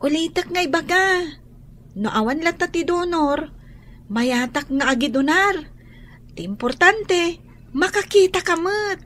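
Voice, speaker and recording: An actress playing a selfless, concerned young sibling in a radio drama. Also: female voice, extremely high pitch, lively intonation, unhurried, long pauses, fairly bright tone, a little hiss or hum, full character voice. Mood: earnest.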